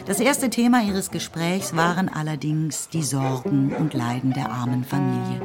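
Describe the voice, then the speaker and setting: A woman narrates a classic novel in a measured, storytelling way. Her voice is low and deep for a woman. Clear music plays underneath her. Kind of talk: scripted literary narration.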